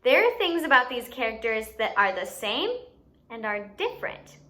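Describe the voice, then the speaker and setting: A woman's voice: fairly high pitch, sing-song, normal speed, bright, forceful tone. A woman hosting a children's educational activity, playfully giving instructions and asking questions as if to young kids. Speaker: children's story time host and teacher.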